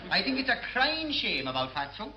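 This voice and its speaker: A man's voice: very high voice, sing-song, a little fast, muffled recording, a little hiss or hum. An actor playing a simple, guileless Welsh seaman in a radio sitcom, with a Welsh accent and a plaintive manner. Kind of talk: classic British radio sitcom acting.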